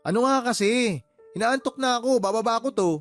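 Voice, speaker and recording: A man's voice: very high-pitched, a little fast, faint music behind. A Filipino man playing a weary, exasperated younger brother who defends himself in a radio drama. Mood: irritated. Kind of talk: Tagalog radio-drama phone dialogue.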